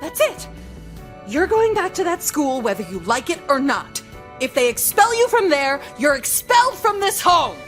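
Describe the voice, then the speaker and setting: Neglectful scolding mom, high voice, swooping intonation, curt, visual novel dialogue. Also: female voice, sing-song, moderate pace, fairly bright tone, faint music behind. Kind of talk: visual novel game voice acting.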